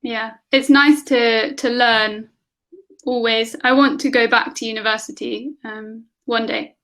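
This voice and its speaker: A woman with a high, light voice chats as an online tutor over a video call. She fires off question after question and laughs often. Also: steady tone, a little slow, a bit bright. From England. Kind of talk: casual conversation lesson.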